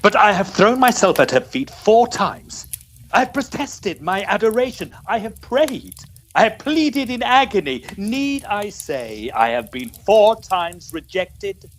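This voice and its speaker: A man's voice: high voice, very animated, a little slow, fairly bright tone, full character voice. A man playing a dissolute, desperate middle-aged Victorian guardian, florid and declamatory in the manner of old-time radio melodrama. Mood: anguished, desperate.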